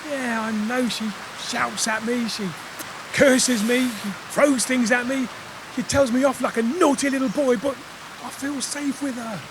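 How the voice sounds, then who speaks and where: A man's voice: very high-pitched, fairly even intonation, a little slow. An actor playing a hapless, defensive British man in an audio comedy drama, earnest and oblivious. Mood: earnest, sheepish. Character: hapless, defensive man back with his bossy partner. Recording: rain and hiss behind.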